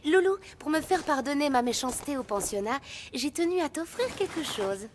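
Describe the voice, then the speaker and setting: A woman voicing a kind, generous young girl in a cartoon, gentle and eager in manner. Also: high voice, lively intonation, fairly quick, slight background noise. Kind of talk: cartoon voice acting.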